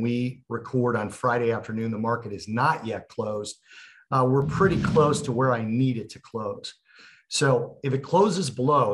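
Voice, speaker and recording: Male co-host and trader. Average pitch, conversational, opinionated, lays out his reasoning step by step. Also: a bit bright. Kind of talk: conversational trading analysis.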